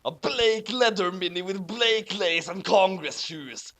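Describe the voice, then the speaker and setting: A man's voice: high-pitched, measured pace, a bit bright. A man reading a story aloud and commenting on it in an amused, incredulous, mocking way. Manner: incredulous, amused, breaking into laughter over the text. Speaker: host reading fan fiction aloud with commentary.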